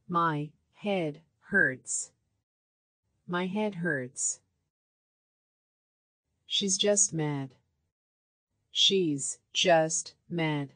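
A woman with a slightly low voice reads short practice phrases at a very slow, deliberate pace, with each word clearly enunciated. The sound is dry and close, with no room echo. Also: a bit bright. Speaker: language lesson voice. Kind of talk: repeated phrase drills for learners.

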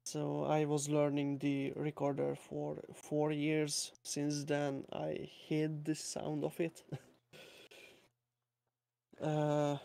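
Slow, hesitant young Hungarian man. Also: on the high side, vocal fry, dark tone. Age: young adult. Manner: slow and hesitant, many uh fillers, nervous laughs. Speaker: guest musician. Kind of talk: casual online interview. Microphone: home mic with external sound card.

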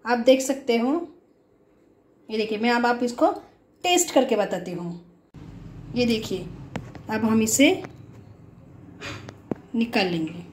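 Woman, high-pitched, casual instructional cooking host, reverberant indoor room. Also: takes it slowly, pauses often, a little hiss or hum. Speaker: home cook, cooking show host. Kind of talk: casual recipe demonstration. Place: home kitchen, indoors. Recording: echoey room.

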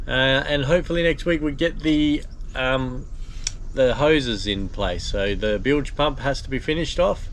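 A man's voice: mid-range voice, unhurried, a little hiss or hum. Easygoing man with lots of ums. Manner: relaxed and chatty, lots of ums and uhs. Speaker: boat restorer and channel host. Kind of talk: casual vlog narration. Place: inside a steel boat hull, then an outdoor patio.

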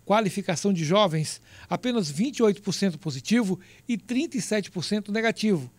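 Brazilian guy doing a news voice-over, high-ish, dry, reads numbers steady. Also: no room sound. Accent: Brazilian Portuguese. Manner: measured, reads out figures crisply. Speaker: TV news reporter.

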